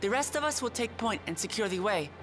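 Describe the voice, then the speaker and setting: An actress playing a straightforward, decisive young adventurer in a video game, speaking plainly and directly. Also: female voice, mid-range voice, animated, fast, music in the background, natural voice. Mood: resolute, supportive. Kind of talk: dramatic video game voice acting.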